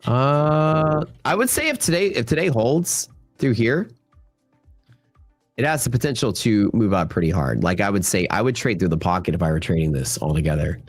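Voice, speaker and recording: Deep nasal man with a cold. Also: animated, moderate pace, faint music behind. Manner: loose, filler-heavy talk to the chat. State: stuffy from a cold, voice worn. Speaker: futures trading livestream host. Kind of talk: casual live market commentary.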